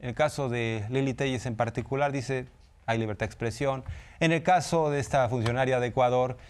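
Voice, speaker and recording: A man's voice: medium pitch, fairly even intonation, a little fast, darkish tone, smooth. A Mexican man presenting news commentary as a host, in a conversational style.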